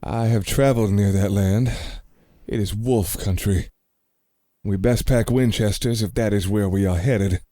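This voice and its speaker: A rugged adventurer acted by a man talks in a low, dark voice, recorded dry. He is practical and plainspoken in this radio-play role. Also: fairly even intonation, a little slow, no echo, natural voice. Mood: grim, practical.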